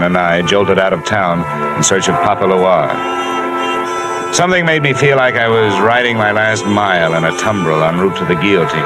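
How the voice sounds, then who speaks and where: An actor playing a wisecracking insurance investigator who narrates a 1950s radio drama in a dry, hard-boiled way. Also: male voice, sits low, lightly acted, low-quality recording. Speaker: narrator and lead.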